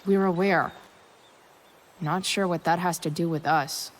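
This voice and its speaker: A woman's voice: fairly low pitch, fairly even intonation, measured pace, close to an everyday voice. Woman as a blunt, defiant teenage girl. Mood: guarded.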